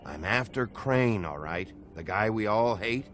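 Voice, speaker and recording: Low, gruff hard-boiled lawman. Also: lively intonation, unhurried, a bit bright. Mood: impatient, restrained. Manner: curt, weary, blunt. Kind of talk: video game voice acting. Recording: music behind the voice.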